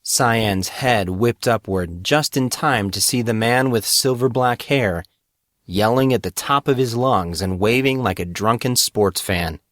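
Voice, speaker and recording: A man's voice: medium pitch, unhurried, a bit bright, no room sound, clean studio sound. A man reading scripted fantasy fiction as the narrator of a story podcast.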